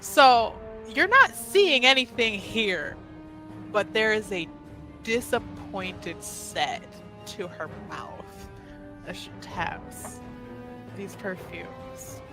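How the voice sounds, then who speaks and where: A woman's voice: medium pitch, animated, drawn-out words, music in the background. A woman casually describing what her character does as a player in a tabletop roleplaying game.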